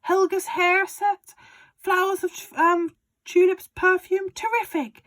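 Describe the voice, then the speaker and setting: A woman reading aloud and voicing an excitable, bossy father who shouts his big plans. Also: takes it slowly, heavily acted. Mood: overexcited. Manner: shouting, overexcited, booming. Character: excitable bossy father, middle-aged man.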